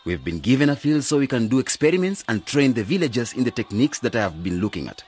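An actor playing an earnest, optimistic young graduate who leads a village cooperative in a radio drama. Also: male voice, mid-range voice, sing-song, fast talker, a little mellow, breathy edge, soft background music. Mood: upbeat. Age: young adult.

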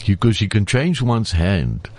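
Low-voiced man explaining. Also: big pitch swings, a little slow, a little mellow. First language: Afrikaans. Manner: explanatory, lecturing at length. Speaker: professor, guest expert. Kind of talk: conversational interview.

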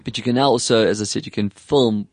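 A man's voice: fairly low pitch, fast talker, no echo. Chatty South African man, dry close sound. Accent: English South African. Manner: chatty, animated, puts on voices when quoting. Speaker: podcast host. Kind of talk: casual tech chat.

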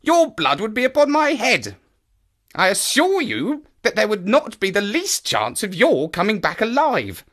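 An enthusiastic male naturalist guides a visitor across the moor, as a man acts him out in an audio drama. His voice leaps about wildly in pitch and carries a bright, forward edge. He explains with keen, knowing animation. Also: wildly swooping pitch, a little slow, full character voice. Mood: alarmed. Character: eager male naturalist of the moors, lively and knowing.

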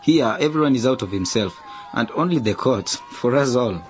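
An actor playing a stern, competitive young footballer in a radio drama. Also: male voice, mid-range voice, animated, normal speed, dull audio, close to an everyday voice. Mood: warning.

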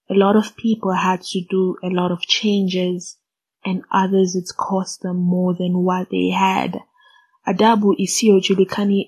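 A young woman poet from Congo, a native Swahili speaker, speaks with a breathy, husky voice. Her delivery is measured and solemn, and it stays level with little rise or fall. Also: medium pitch, low-quality recording. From Democratic Republic of Congo. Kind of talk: spoken word poetry and introduction.

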